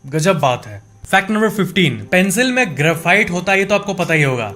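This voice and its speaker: High-pitched young man explaining facts. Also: animated, brisk, sharp tone. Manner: conversational, addresses viewers directly, explains with repetition. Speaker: presenter of fact videos. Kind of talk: informal explainer narration of numbered facts. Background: background music.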